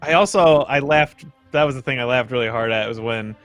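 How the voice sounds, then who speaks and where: A man's voice: medium pitch, a little fast, fairly bright tone, soft background music. A young adult man in casual conversation, amused and informal, somewhat hesitant. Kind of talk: casual chat.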